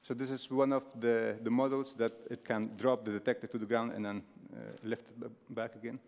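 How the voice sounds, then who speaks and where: A man in his thirties from Afghanistan giving a stage talk, halting with many fillers and restarts. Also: medium pitch, little pitch movement, a little mellow. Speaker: speaker. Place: large stage hall with audience. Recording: long hall reverb.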